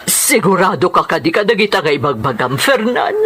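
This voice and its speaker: A woman's voice: lowish voice, sing-song, a little fast, fairly bright tone, a little hiss or hum. Firm, wary Ilocano auntie, acted by a woman. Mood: doubtful. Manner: questioning, firm. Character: sensible, wary middle-aged aunt. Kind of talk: radio drama acting.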